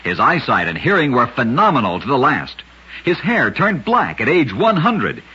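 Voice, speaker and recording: Husky American man narrating, animated and bright. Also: medium pitch, moderate pace. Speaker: radio host and narrator of short true oddities. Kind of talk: scripted vintage radio narration. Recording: dull lo-fi vintage radio recording.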